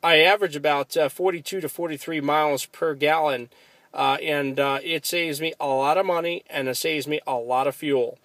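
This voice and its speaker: A man's voice: on the high side, steady tone, moderate pace, fairly bright tone, dry recording. An American man in a casual, chatty ham radio conversation, speaking with enthusiasm from inside a car. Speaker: amateur radio operator.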